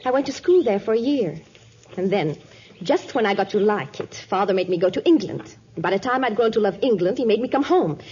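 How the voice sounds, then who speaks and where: An actress playing a resentful, headstrong young daughter, petulant and dramatic, in a 1950s radio drama. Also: female voice, mid-range voice, expressive, brisk, a little mellow, natural voice. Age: young adult. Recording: dull lo-fi 1950s radio recording.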